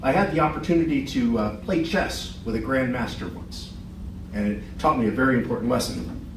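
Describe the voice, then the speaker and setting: A middle-aged man giving a short business pitch in a meeting room, chatty and self-deprecating, with many restarts. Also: brisk, noisy background. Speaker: business presenter. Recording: echoey room, far from the mic.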